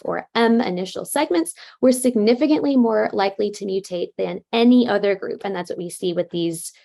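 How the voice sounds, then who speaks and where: A woman's voice: mid-range voice, creaky, darkish tone. A woman presenting research at an academic conference in a casual way, with many fillers and self-corrections.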